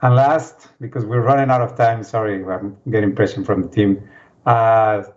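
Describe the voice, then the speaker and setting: A man's voice: lowish voice, steady tone, normal speed. A man moderating a panel casually, with informal, rambling and hesitant phrasing. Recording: dull lo-fi audio.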